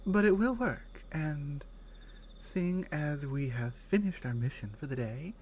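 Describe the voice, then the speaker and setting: A woman playing a strong, caring Nordic warrior companion in an intimate ASMR roleplay, speaking in halting phrases that often drop toward a whisper. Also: low voice, a little mellow, slight background noise. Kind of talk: ASMR roleplay, intimate one-sided dialogue.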